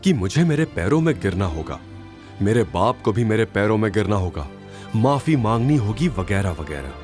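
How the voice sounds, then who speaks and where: Man playing a low, menacing, calm commander. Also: moderate pace, soft background music. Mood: mocking. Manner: composed, mocking, authoritative. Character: calm, menacing, feared male former major, coldly commanding. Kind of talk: audio drama character acting.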